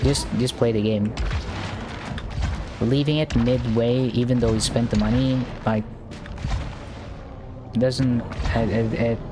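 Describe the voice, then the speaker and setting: A man's voice: mid-range voice, steady tone, takes it slowly, pauses often. Man, live game streamer, stuttery, repeats himself, game music under him. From Norway. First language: Norwegian. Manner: stammering, repeats words, thinking aloud. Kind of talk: live gameplay commentary.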